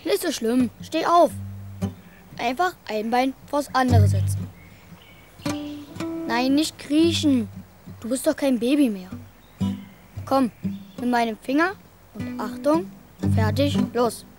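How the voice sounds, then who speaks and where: A woman's voice: sits high, animated, slow, long pauses, over music. A woman playing an eager, caring, slightly bossy young boy in a children's radio play. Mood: bossy coaching.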